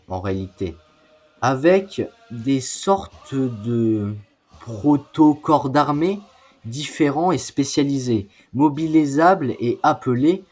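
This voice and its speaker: A man narrates history in a slow, unhurried delivery with a husky, rough-edged voice. He explains in a semi-scripted style and slips in informal asides. Faint music plays behind him. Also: medium pitch, darkish tone.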